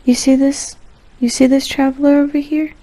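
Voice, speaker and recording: A woman's voice: high voice, little pitch movement, moderate pace. A woman doing casual, joking gameplay commentary in a wry, playfully dramatic way. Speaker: game streamer. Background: soft game music.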